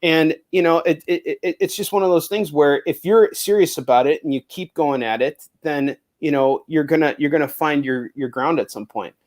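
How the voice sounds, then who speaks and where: A man hosting a casual interview, speaking earnestly, agreeing often and filling pauses with restarts. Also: a little high. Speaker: host.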